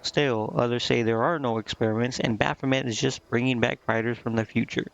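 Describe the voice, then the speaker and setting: A man reading out the lines of a quiet, regretful female demon from a fantasy game in a halting manner. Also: mid-range voice, fairly even intonation, gravelly, muffled recording, natural voice. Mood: musing.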